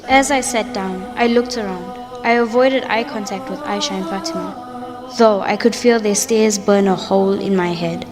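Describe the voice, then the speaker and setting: A woman's voice: medium pitch, normal speed, natural voice. Lady doing guarded young woman in radio drama, introspective, lots of reverb, music behind. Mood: guarded, uneasy. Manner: apologetic, introspective narration. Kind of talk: audio drama acting.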